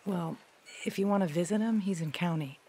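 Radio drama cashier woman acting: blunt, casual, streetwise, lower female register, faint background noise. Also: a little fast, darkish tone, lightly acted. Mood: blunt, gossipy.

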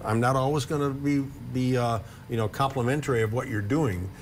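A man's voice: medium pitch, brisk, slight background noise. A man in his sixties, a blogger who has lived in Upper Michigan for decades, talks in a friendly, casual way as a guest in a TV studio, often repeating a word as he starts a sentence. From Upper Michigan, USA. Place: TV studio with couch set. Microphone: lapel mic.

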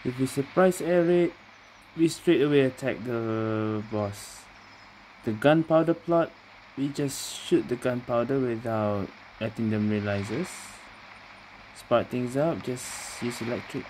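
A woman's voice: rumbling low, unhurried, darkish tone, a little hiss or hum, low-quality recording. A woman game streamer giving casual, offhand commentary during a playthrough.

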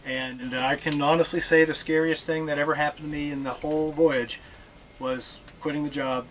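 A man's voice: a little high, normal speed, a little hiss or hum, low-quality recording. Joking middle-aged man talking on a boat. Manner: relaxed, joking, reads from notes. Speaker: sailor giving a talk. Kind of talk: casual presentation to an audience. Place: aboard a catamaran in a marina. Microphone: far camera.

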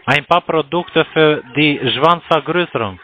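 A man's voice: a little high, measured pace, pushed and bright. A man on a phone call, talking in a mocking, provocative way. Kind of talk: phone call, taunting chat. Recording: noisy call with clear background noise.

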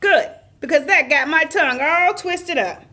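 A woman's voice: sits high, moderate pace, sharp tone. A woman voicing a silly, muddled, cheerful puppet wolf who tells children stories in a scatterbrained, singsong way. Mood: silly, amused. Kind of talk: children's puppet theater storytelling.

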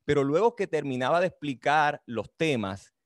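A man's voice: fairly high pitch, animated, moderate pace, dry recording. Middle-aged Caribbean Spanish man, dry sound. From Dominican Republic. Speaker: author and history teacher presenting his book. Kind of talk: book talk, explanatory storytelling. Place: indoor room with bookshelves, on a video call. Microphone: video call.

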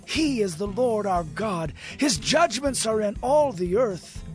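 A man narrating a dramatized Bible reading in an expressive, measured way. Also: sits high. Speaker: scripture narrator. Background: soft music.

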